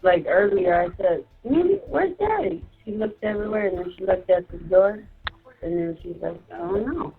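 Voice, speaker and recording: A woman's voice: mid-range voice, lively intonation, moderate pace, slightly rough. A woman chatting casually on the phone, giving short, supportive replies. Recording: muffled phone line, faint music.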